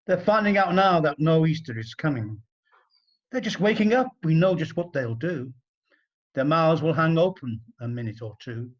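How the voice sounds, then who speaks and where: Man playing a smug, mocking storybook grump, pitched up. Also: lively intonation, moderate pace, lo-fi sound. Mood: mocking, gloating. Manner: mocking, theatrical. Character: the smug, gloating world, a grumbling storybook Grinch-like villain. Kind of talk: rhyming storybook character voice.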